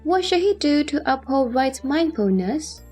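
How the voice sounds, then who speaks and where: Earnest questioning bodhisattva , female performer, high-pitched, formal scripture drama delivery over faint music. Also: big pitch swings, a little slow, a little mellow. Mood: earnest inquiry. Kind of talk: audio drama sutra recitation.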